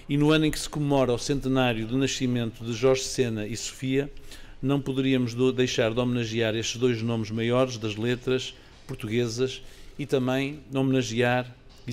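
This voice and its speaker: A man's voice: mid-range voice, darkish tone. Formal man in his forties giving a speech. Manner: formal, reading from notes. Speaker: city official. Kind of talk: prepared speech at a press event. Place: outdoor park, under trees. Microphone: podium microphone.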